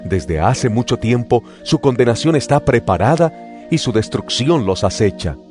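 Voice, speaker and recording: A man giving a dramatized Bible reading in a slow, solemn and expressive way. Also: medium pitch. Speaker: scripture reader. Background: music.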